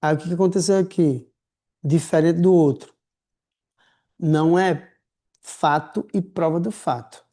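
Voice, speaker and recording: A man's voice: a little high, measured pace, pauses often. Chatty male teacher. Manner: lively, chatty, slangy, affectionate with students. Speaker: writing teacher. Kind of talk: casual recorded lecture.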